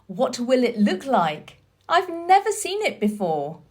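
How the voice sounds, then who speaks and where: A woman voicing an imperious, excitable young boy invalid full of wonder, in a storybook reading. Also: high-pitched, measured pace, slightly rough, voice pushed up, heavily acted. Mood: excited, hopeful.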